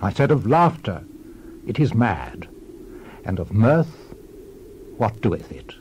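An actor playing a world-weary, reflective old king and preacher in a dramatized scripture reading, solemn and measured. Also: medium pitch, a little mellow, highs cut off, a little hiss or hum.

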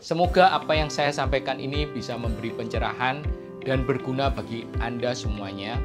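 A middle-aged man explains his views to camera in a measured way, stressing key words, on a lapel mic. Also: mid-range voice, loud music behind the voice. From Indonesia. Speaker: doctor, medical specialist presenting to camera. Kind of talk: explanatory opinion talk to camera. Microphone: clip-on lapel mic.

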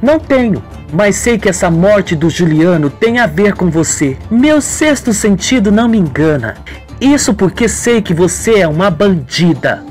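Woman as accusing young soap heroine: pointed, confrontational, animated. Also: medium pitch, moderate pace, faint music behind, voice pushed up, close to an everyday voice. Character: accusing, suspicious young woman, former friend of villain.

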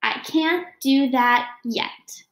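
A woman's voice: sits high, drawn-out words, a bit bright, echoey room, full character voice. A young woman voicing an earnest young storybook girl who is doubtful but determined, with a plaintive manner.

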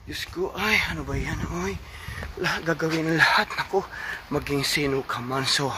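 A man's voice: on the high side, normal speed. A middle-aged Filipino man filming himself on a phone at arm's length, chatting cheerfully in a mix of Tagalog and English while walking a city street at night. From Philippines. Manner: animated, switches between Tagalog and English, loud cheery greetings. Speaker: vlogger, overseas worker. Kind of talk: casual walking vlog. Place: city street at night, then a convenience store. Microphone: phone held at arm's length. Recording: loud street traffic noise.

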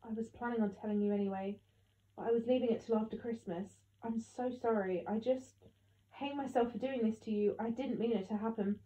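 A woman's voice: on the high side, fairly even intonation, brisk, dark tone, muffled recording, natural voice. A woman voicing a grave, apologetic father in an audiobook, earnest and resolved. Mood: regretful.

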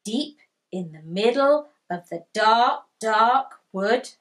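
A woman voicing a friendly young male dog in a children's storybook, with gentle, expressive phrasing. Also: a little high, slow pace. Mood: dramatic reading. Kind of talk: children's storybook character read aloud.

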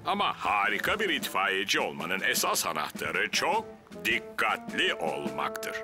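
A man's voice: fairly low pitch, huge pitch swings, a little slow. A man voicing an earnest, pompous middle-aged fire station chief in a cartoon, instructive and emphatic. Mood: lecturing. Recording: cartoon music behind.

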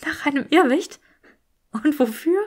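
A woman voicing an earnest, sharp-witted, bookish young woman in an audiobook, prim and mock-serious. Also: high voice, expressive, a little slow, voice pushed up. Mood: puzzled.

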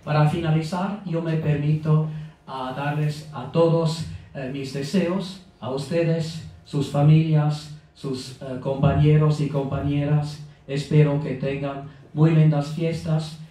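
A middle-aged man giving a formal welcome speech at a lectern, speaking unhurriedly with pauses, fillers and small self-corrections. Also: a little high, steady tone, warm tone. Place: reception room at an embassy, indoors. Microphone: handheld microphone at a lectern. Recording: echoey room, picked up from a distance.

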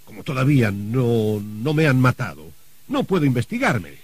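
A man's voice: medium pitch, sing-song, moderate pace, slightly rough, no room sound. A man acting an anxious, sardonic doomed novelist in a radio play, with a hesitant, dramatic delivery.

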